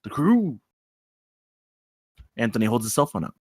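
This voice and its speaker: A man's voice: mid-range voice, big pitch swings, measured pace, darkish tone, slightly rough, no echo, studio quality. A man reading out stage directions as the narrator of a screenplay table read.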